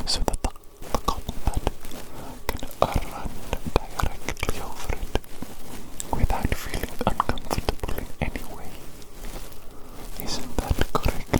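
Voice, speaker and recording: Whispering woman in slow ASMR roleplay. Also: low-quality recording. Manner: soothing, repeats phrases softly. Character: doting evil doctor tending their monstrous creation. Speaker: ASMR performer.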